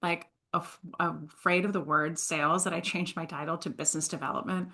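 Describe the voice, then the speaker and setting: A woman's voice: fairly low pitch, brisk. A woman in her thirties from Switzerland, a guest on a podcast, telling her career story casually with self-deprecating humor. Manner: animated, self-deprecating storytelling with frequent laughs. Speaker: guest, sales entrepreneur.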